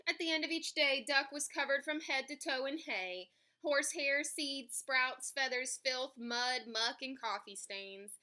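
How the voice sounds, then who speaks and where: A woman reading a story aloud for children in an expressive, emphatic way. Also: high voice, a little slow. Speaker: picture book reader.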